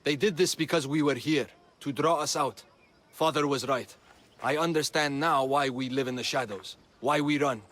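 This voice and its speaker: A man's voice: medium pitch, normal speed, a bit bright, close to an everyday voice. Troubled young man in hiding, played by an actor. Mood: bitter self-blame. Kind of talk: video game cutscene acting.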